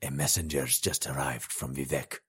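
Man doing a very deep, husky snake-like schemer, slow and gloating, storybook villain. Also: steady tone, fairly bright tone, exaggerated voice. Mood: solemn. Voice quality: hissing whisper. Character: sly, menacing male snake-like potentate.

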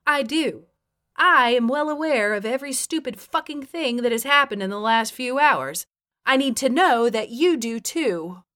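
A woman narrator playing a furious, wounded wife, blunt and forceful, in dramatic audiobook dialogue. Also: on the high side, big pitch swings, a little fast, few pauses. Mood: tense, controlled anger. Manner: forceful, cursing, demanding.

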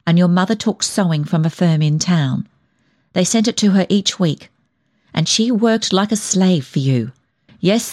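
A woman's voice: on the low side, a little mellow. A woman voicing a stern, blunt older female cousin in a storybook, solemn and indignant.

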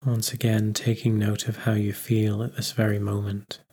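A man guiding a meditation, giving gentle instructions with unhurried pauses. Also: lowish voice, monotone, slightly rough. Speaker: meditation guide. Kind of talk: guided meditation narration.

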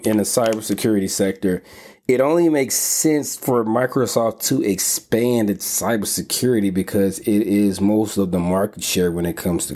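A man's voice: fairly low pitch, mellow. A man hosting a podcast, giving informal, opinionated financial news commentary with slangy, sometimes profane phrasing. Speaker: podcast host.

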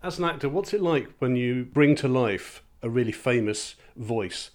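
A man's voice: mid-range voice, lively intonation, moderate pace, a little mellow. A man hosting a local podcast, interviewing and presenting in a conversational way.

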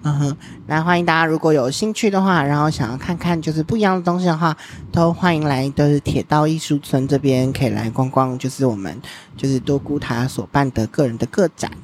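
A man's voice: on the high side, fairly quick, hardly any pauses, a little hiss or hum. A man hosting a casual podcast interview, chatty and curious, often laughing between questions. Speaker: podcast host.

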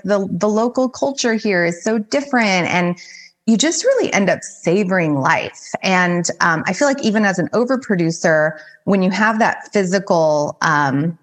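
A woman life coach giving casual, conversational advice as a podcast guest, with informal phrasing peppered with "like". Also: mid-range voice. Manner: informal, heavy use of "like".

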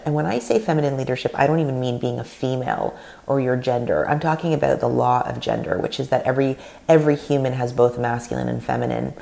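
Brief: woman podcast host, thirties, very deep voice, gravelly, earnest and rambling. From Canada. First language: Russian. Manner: earnest, animated, rambling with restarts. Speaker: podcast host and leadership coach. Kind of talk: solo podcast talk.

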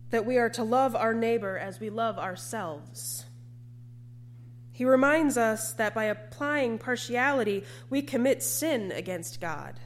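A woman preacher delivering a sermon in a measured, emphatic way, with deliberate repetition. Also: fairly high pitch, a little hiss or hum.

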